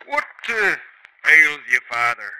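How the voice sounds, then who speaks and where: An actor playing a blunt, scheming highwayman son in a 1950s radio drama. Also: big pitch swings, slow pace, bright, forceful tone, a little hiss or hum, exaggerated voice, poor audio. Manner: blunt, scornful.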